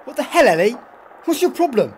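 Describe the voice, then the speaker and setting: Actor playing cocky reckless getaway guy, flippant, very animated, radio drama with noisy car background. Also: medium pitch, fairly quick, lightly acted. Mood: indignant. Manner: flippant, defiant. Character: Jake, cocky, reckless male getaway driver. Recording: noisy recording with vehicle ambience.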